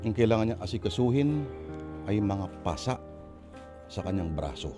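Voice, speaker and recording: A man's voice: fairly low pitch, big pitch swings, moderate pace. A man with a Filipino accent giving dramatic scripted narration as host of a radio drama. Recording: music under the voice.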